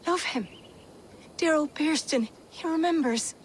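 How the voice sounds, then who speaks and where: A woman's voice: high voice, measured pace, slight background noise. A woman playing a defiant, plain-spoken, middle-aged countrywoman in a period game drama, with earthy archaic phrasing. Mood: wistful, moved. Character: defiant, plain-spoken poor countrywoman, protective older sister.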